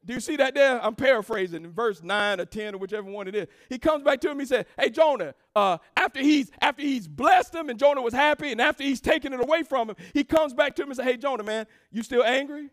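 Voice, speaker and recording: A woman pastor delivering a sermon, emphatic and rousing, calling out to the congregation and swinging from low asides into shouted, sing-song cadences. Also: fast talker, hardly any pauses. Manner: emphatic preaching with call-and-response.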